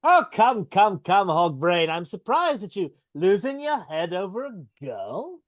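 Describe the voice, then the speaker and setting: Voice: medium pitch, very animated, measured pace, dry recording, clean studio sound. A performer voicing a cruel, mocking goblin king in a live reading over a video call. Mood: taunting. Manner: taunting, mocking with laughs.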